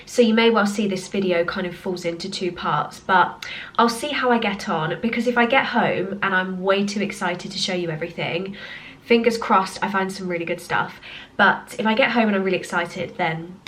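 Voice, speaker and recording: A woman's voice: medium pitch, normal speed, a bit bright, low-quality recording. Nasal woman with a cold. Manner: chatty and upbeat, frequent little laughs. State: has a cold. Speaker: home decor vlogger. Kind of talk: casual chat to camera. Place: at home, indoors. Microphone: handheld camera.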